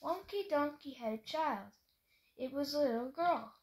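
A woman's voice: high voice, animated, unhurried. A woman reading a children's story aloud in a playful, sing-song way, leaning on the rhymes. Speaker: picture book reader. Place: bedroom at home, indoors. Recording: home video with clear background noise.

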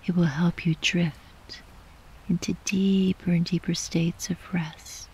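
A woman guiding a sleep meditation in a soft, breathy, whispery voice, gently and soothingly, with long unhurried pauses. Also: fairly low pitch, level tone, poor audio. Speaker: yoga nidra meditation guide.

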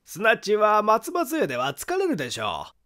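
A man voicing a grave, secretive man who calmly argues a friend's innocence in a polite, deliberate way, in an audiobook mystery story. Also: high-pitched, pitched above the performer's own voice, full character voice. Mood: confiding, grave.

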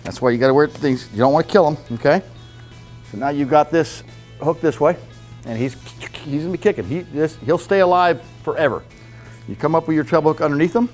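A man in his fifties giving a casual, blunt fishing seminar in a club meeting room, checking in with "okay?" as he goes. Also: fairly high pitch. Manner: blunt, folksy, repeats "okay?" to check listeners. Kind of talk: casual hands-on fishing talk.

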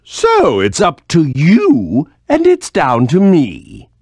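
An actor playing a pompous little tyrant villain in a video game, sneering, with a gravelly voice. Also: male voice, on the high side, huge pitch swings, slow pace, a little mellow, no echo, studio quality, exaggerated voice. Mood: sneering, menacing.

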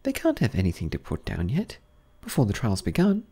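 A man's voice: medium pitch, wildly swooping pitch, fairly quick, dark tone, husky, exaggerated voice. A man reading an audiobook voices a curious, prim little girl with a raised, girlish voice.

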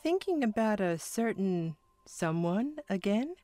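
Woman doing composed skeptical motherly court lady, audio drama, really slow talker, faint music. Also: mid-range voice, sing-song, warm tone, dry recording.

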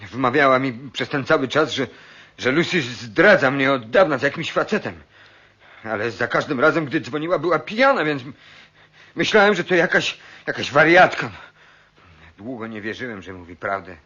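An actor playing a distraught, betrayed husband in a radio play, halting and emotional, repeating his words. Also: mid-range voice, expressive, sharp tone, breathy edge. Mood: shaken. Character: distraught betrayed husband, an overworked office man turned remorseful killer. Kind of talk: Polish radio play acting. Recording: dull muffled radio-play recording.

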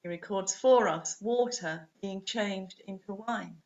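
Woman, medium pitch, scripted sermon, deliberate phrasing, dull lo-fi webcam sound. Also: darkish tone. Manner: measured, deliberate phrasing in short units. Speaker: preacher, Anglican priest. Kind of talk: scripted sermon read to camera. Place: small home room, indoors. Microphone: webcam or laptop at desk distance. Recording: dull, muffled webcam audio.

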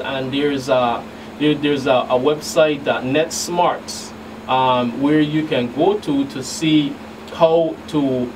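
A middle-aged man, a law enforcement agent, answering as a guest expert on a radio talk show, haltingly and often repeating words. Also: on the high side. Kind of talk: explanatory radio interview answers. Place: small radio studio. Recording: noisy, distant mic with room hum.

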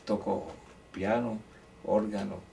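A man's voice: on the low side, fairly even intonation, slow pace, vocal fry, mellow. An older man from Córdoba, Colombia, telling stories from his life as a musician in a chatty, anecdotal way, with hesitant restarts. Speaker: musician interviewee. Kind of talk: relaxed storytelling interview. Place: indoor room beside a grand piano. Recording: distant mic with faint room noise.

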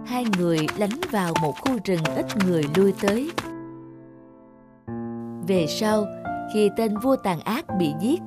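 A woman narrating an animated folk tale in a calm, measured storytelling manner. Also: mid-range voice. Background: music.

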